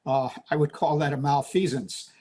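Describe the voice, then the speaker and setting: An older man giving an expert news interview in hesitant, measured phrases. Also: on the high side, steady tone, very noisy. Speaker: expert interviewee, retired pilot.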